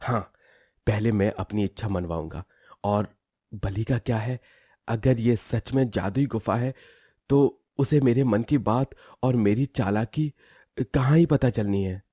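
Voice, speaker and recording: A man's voice: on the low side, unhurried, dark tone, husky, dry recording, clean studio sound. A man voicing a lazy, scheming young woodcutter husband who whines and bargains in a cartoon story. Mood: sly, demanding. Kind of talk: Hindi cartoon story voice acting.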